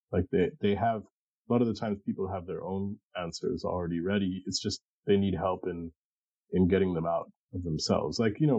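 A middle-aged man with a very mellow, husky voice speaks as a thoughtful interview guest. He talks casually and haltingly, repeating words as he works through his thoughts. The recording is close and dry, though a little dull. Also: low-pitched, no room sound. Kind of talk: casual, reflective interview conversation.

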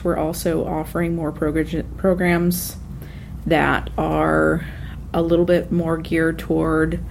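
A woman's voice: sits low, gravelly, darkish tone, slight background noise. A woman on library staff talking enthusiastically and at some length in a panel discussion.

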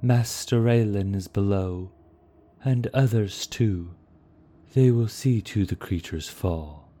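Guy doing a calm soft-spoken lord, slow and reassuring, low mellow voice. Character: serene soft-spoken male elder lord.

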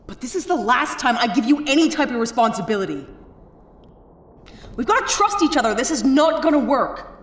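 Sci-fi audio drama: actress as stern, commanding female spaceship captain, sceptical, very varied pitch, roomy sound. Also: very animated, a little fast. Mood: exasperated, angry.

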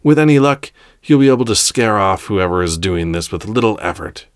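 A man's voice: on the low side, animated, fairly quick, clean tone, no echo, clean studio sound, close to an everyday voice. A man voicing a domineering, impatient female Grand Master of a space order in a story, barking commands. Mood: commanding. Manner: commanding, shouting.

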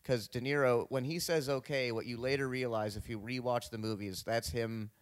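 A man's voice: mid-range voice, steady tone, brisk, clear, resonant tone. A man in casual podcast banter, rambling and joking with lots of swearing.

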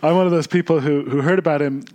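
Soft-spoken monk, a touch higher voice. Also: male voice, fairly even intonation, fast talker. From Austria and the U.S. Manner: thoughtful, searching for words. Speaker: monk and guest. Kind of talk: podcast interview.

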